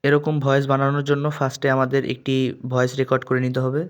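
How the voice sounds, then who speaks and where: A man's voice: medium pitch, monotone, fast talker, clean tone. A man hosting a tutorial, explaining app steps one by one. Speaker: tutorial host. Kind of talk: step-by-step app tutorial narration.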